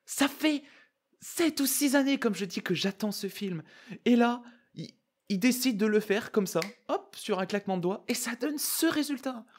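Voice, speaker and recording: A man giving casual, excitable, slangy reaction commentary. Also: very high-pitched, normal speed, breathy edge. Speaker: YouTuber reacting to and analysing a trailer.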